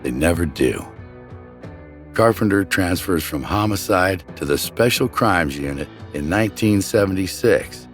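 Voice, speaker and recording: A man with a low, deep voice narrates a true crime story from a script. His delivery is measured and dramatic, like a documentary storyteller, with music playing under the voice. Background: background music.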